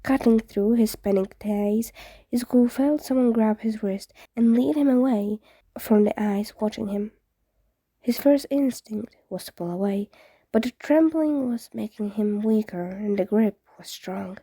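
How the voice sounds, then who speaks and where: A woman's voice: mid-range voice, unhurried, dark tone, breathy edge, dry recording. A woman reading fan fiction aloud in an audiobook-style narration.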